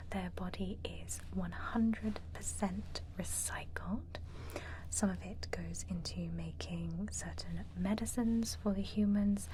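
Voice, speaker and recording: A woman acts out a female vampire supervisor in an ASMR roleplay, whispering softly and rather low. She instructs briskly but patiently, a little condescending, with hesitant pauses. Some noise sits behind the whisper. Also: poor audio. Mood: helpful, matter-of-fact. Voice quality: soft breathy whisper.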